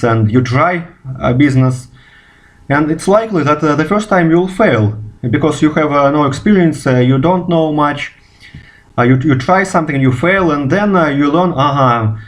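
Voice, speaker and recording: A Russian man speaking English as a second language, a physicist talking unscripted to camera, stopping often on "uh" fillers and restarts. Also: medium pitch. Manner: halting, many "uh" fillers and restarts. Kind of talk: unscripted video response.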